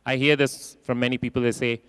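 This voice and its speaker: A man giving a keynote talk in a large conference hall, expressive and acting out quoted voices, repeating phrases for emphasis. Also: mid-range voice, brisk, clear, resonant tone, clean studio sound. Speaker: keynote speaker.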